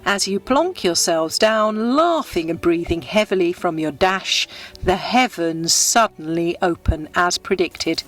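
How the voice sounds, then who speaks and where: A woman narrates a scripted guided meditation in a gentle, unhurried, descriptive storytelling manner. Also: lowish voice, expressive. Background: background music.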